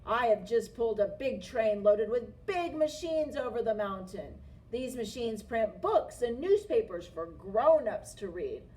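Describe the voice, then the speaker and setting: A woman's voice: on the high side, expressive, a little slow, mellow. A woman voicing a big, strong male freight engine in a storybook, bellowing and pompous. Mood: boastful, gruff. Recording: distant mic, faint noise.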